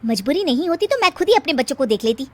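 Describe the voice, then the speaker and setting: A woman's voice: high-pitched, big pitch swings, very fast, no echo. A woman voicing an overworked, touchy young working mother and daughter-in-law in a moral story. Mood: complaining. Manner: complaining, defensive.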